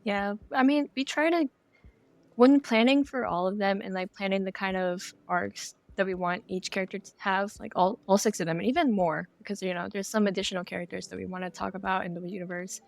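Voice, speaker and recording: A woman's voice: medium pitch, fairly even intonation, fairly quick, creaky, dry recording. A woman speaking as a guest game developer in a casual podcast interview, explaining things conversationally.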